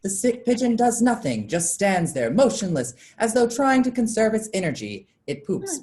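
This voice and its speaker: A woman's voice: mid-range voice, big pitch swings, moderate pace, warm tone. A woman reading stage directions as scripted narration for a staged play.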